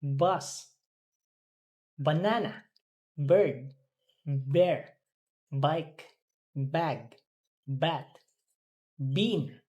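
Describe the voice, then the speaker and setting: An English student carefully reading words and sentences aloud, repeating them deliberately as in a pronunciation drill. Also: mid-range voice, darkish tone. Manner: deliberate, repeating after a teacher.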